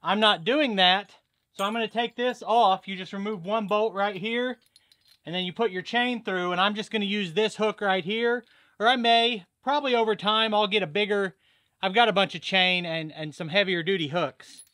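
A man's voice: high voice, normal speed, sharp tone, no room sound. A man in his thirties casually explaining a farm tool project outdoors, step by step, in a chatty vlog style. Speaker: farm vlogger. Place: outdoors at a farm, by a garage and a pond.

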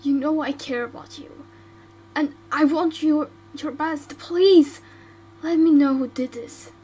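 A woman's voice: high-pitched, takes it slowly, mellow. A woman voicing a worried, caring friend who stammers and pleads for answers. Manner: anxious, insistent, stammering.

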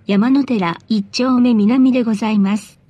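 Woman, high voice, polite formal bus announcer, measured scripted delivery. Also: steady tone, mellow, no room sound, highs cut off.

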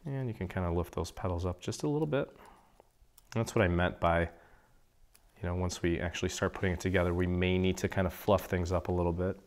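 A man with a slightly hoarse voice from a cold, walking through a paper craft project in a relaxed, chatty way. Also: deep voice, fairly quick. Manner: relaxed, chatty, clears his throat now and then. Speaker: craft tutorial host. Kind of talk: casual step-by-step crafting instruction. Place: indoor craft table.

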